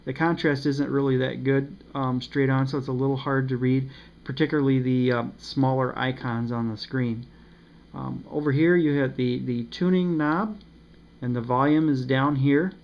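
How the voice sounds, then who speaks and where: A man giving a casual, unscripted review of a radio, pausing often with ums and uhs. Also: mid-range voice, fairly even intonation. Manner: hesitant, many ums and uhs. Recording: slightly dull with faint noise.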